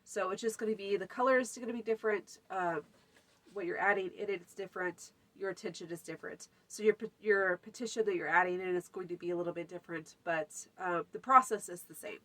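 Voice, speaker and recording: A woman's voice: mid-range voice, fairly even intonation, quick pace, bright, forceful tone. A woman hosting a folk magic channel, talking casually through a how-to with a stuffy, runny nose and lots of fillers. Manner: chatty, many fillers, lists items. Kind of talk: casual spoken tutorial.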